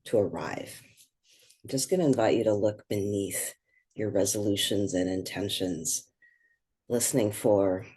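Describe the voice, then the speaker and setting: A meditation guide speaking gently and without hurry, leaving pauses between short phrases. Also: medium pitch, husky.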